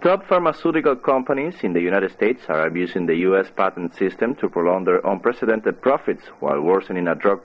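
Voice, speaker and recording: Muffled low-voiced male newsreader. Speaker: radio newsreader. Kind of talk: scripted news reading. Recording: muffled shortwave radio broadcast.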